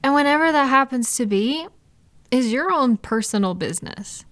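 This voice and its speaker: Woman performing a supportive female friend, slightly high pitch, casual and reassuring, intimate audio roleplay, very dry close sound. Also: animated, normal speed, no room sound. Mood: reassuring, affectionate. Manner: reassuring, validating, softly indignant on listener's behalf. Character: supportive, gentle female friend comforting a night owl. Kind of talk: intimate one-sided comfort roleplay, casual conversational.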